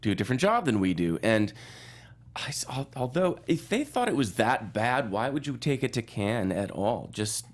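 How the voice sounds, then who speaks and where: A man's voice: medium pitch, big pitch swings, moderate pace. A man chatting casually as a panelist on a film show, opinionated, dry and offhand.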